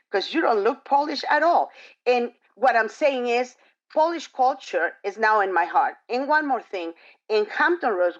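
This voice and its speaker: Earnest woman on a dull video call. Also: medium pitch. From outside the United States. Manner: expressive and earnest, many fillers. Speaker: panelist. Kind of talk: panel discussion on a video call.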